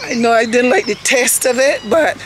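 A middle-aged woman with a hoarse voice, chatting straight to her phone camera on a travel vlog. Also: a little high, normal speed. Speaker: vlogger. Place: outdoors on a city street and in a park. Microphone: phone held at arm's length. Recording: street and traffic noise behind.

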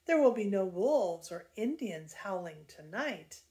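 Storybook pioneer mom, soft and relieved, read by a woman in her own voice. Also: mid-range voice, sing-song, unhurried. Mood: content. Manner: soft and content. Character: calm, cheerful pioneer mother.

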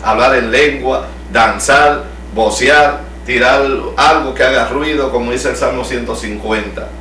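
A man's voice: mid-range voice, unhurried, pushed and bright. A middle-aged man from El Salvador with a Salvadoran accent, a pastor leading a home Bible study and asking the group questions, recorded from across a living room. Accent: Salvadoran Spanish. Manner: teaching, asks the group questions. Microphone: far camera. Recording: echoey room from far off, faint hum.

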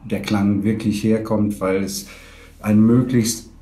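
Low, mellow man explaining hesitantly. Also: fairly even intonation, slow pace, low-quality recording. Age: middle-aged. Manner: hesitant, searching for words. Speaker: 3D audio producer. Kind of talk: interview explanation.

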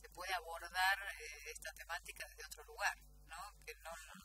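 A woman's voice: lowish voice, wildly swooping pitch, normal speed, music in the background. A woman with a Rioplatense Argentine accent explaining conversationally as a radio guest, searching for her words. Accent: Rioplatense Spanish from Argentina. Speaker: theatre group member, guest. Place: radio studio. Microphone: studio microphone.

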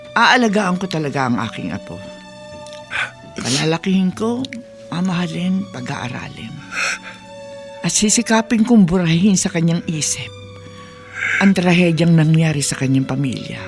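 A woman's voice: deep voice, animated, moderate pace, pauses often, faint music behind. Grieving, resolute Filipino grandmother. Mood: solemn, resolute. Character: grieving older grandmother, contrite yet firm and resolute. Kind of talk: Filipino radio drama acting.

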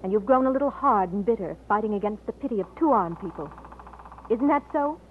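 An actress playing a tender but bold young bride-to-be in an old radio romance, with a gentle, theatrical delivery. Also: female voice, mid-range voice, animated, brisk, lightly acted. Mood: firm, tender. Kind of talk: 1940s radio acting. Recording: tinny, noisy old radio recording.

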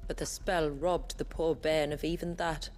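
A woman playing a proud, weary village elder in a video game, with a measured, solemn manner. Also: low-pitched, fairly even intonation, darkish tone, lightly acted. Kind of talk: video game cutscene acting. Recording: music playing behind the voice.

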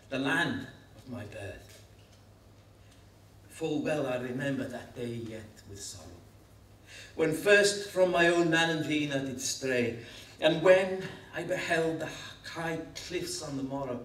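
Middle-aged male poetry reader, higher-pitched, unhurried and expressive, in an echoey hall. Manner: measured, expressive, with dramatic pauses. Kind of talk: dramatic poetry recital. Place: school hall stage before an audience. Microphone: lectern gooseneck microphone. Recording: echoey hall, distant microphone.

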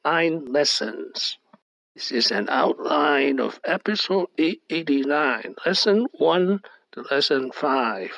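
Slow, gravelly male narrator reading slides. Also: fairly high pitch, expressive, a bit bright, no echo, poor audio. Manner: reads short fragments in a measured, deliberate cadence. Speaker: channel host.

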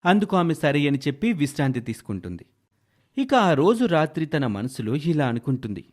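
A man's voice: on the high side, very animated, moderate pace, a little mellow. A man narrating a scripted moral story in a dramatic storytelling style.

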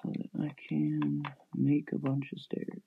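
Gravelly guy on a tinny mic. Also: a little high, completely flat delivery, slow. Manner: thinking aloud in short fragments. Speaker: gamer narrating own gameplay. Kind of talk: casual gameplay commentary. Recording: thin phone-like mic, noisy, clicking.

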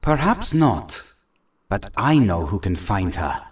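A man's voice: fairly low pitch, sing-song, slow, distant mic. A man playing an earnest, troubled young mutant hero in an audio drama. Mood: troubled, hesitant.

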